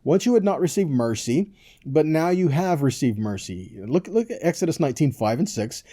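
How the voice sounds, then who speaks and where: A man's voice: a little high, very animated, normal speed, dark tone. A man giving an informal, earnest sermon, reading scripture and urging listeners on with short check-in questions. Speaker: preacher, Bible teacher.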